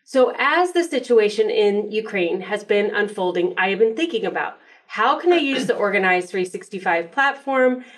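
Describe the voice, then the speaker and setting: A woman's voice: medium pitch, expressive, moderate pace. Woman hosting a podcast, slightly distant mic. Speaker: podcast host; professional organizer and motivational speaker. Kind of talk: conversational podcast talk.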